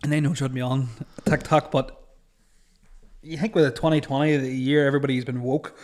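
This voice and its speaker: A man's voice: medium pitch, steady tone, fairly quick. A man hosting a casual banter podcast, rambling and crude, laughing often. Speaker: podcast host.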